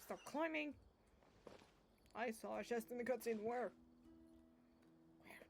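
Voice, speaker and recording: A woman's voice: fairly high pitch, slow pace, gravelly. A woman giving casual, sweary, reactive playthrough commentary as a gaming streamer. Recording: loud game music under commentary. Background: video game music.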